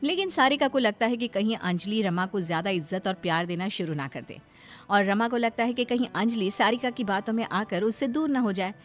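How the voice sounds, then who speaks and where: A woman's voice: mid-range voice, lively intonation, brisk, faint music behind. A woman narrator reading a scripted moral story.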